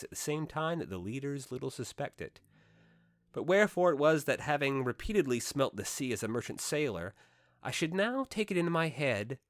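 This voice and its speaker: A man reading a classic first-person novel aloud as an audiobook narrator, with theatrical, emphatic phrasing and dramatic pauses. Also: mid-range voice, no echo, studio quality.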